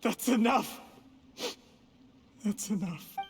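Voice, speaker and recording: A man voicing a bitter, desperate young man in a video game, agitated and defiant, with a husky voice. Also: high voice, lively intonation, slow. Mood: anguished. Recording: long cave-like reverb.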